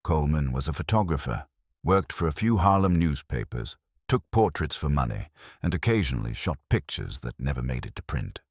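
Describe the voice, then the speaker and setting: A man delivers scripted true-crime narration in an extremely low, husky voice. He phrases it in short, dramatic storyteller beats with a lively melody. The recording is dry and close. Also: fairly quick, no echo.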